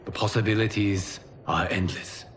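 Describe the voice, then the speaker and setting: Deep, solemn guardian god of time, acted by a man. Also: steady tone, moderate pace, slight background noise, natural voice. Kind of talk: video game cinematic acting. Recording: game audio with music underneath.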